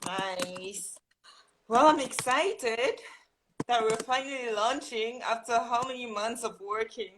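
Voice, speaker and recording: A woman's voice: fairly high pitch, slow pace, fairly bright tone, slight background noise, low-quality recording. A young woman from Burkina Faso, a guest writer, talking earnestly and thoughtfully on a live video call, with frequent ums and restarts. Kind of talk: casual live-stream conversation.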